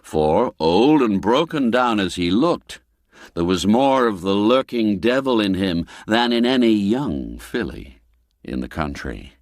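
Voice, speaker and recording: A man narrating a literary story as an audiobook, with a measured, expressive, old-fashioned storyteller's delivery. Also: on the low side, no room sound. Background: background music.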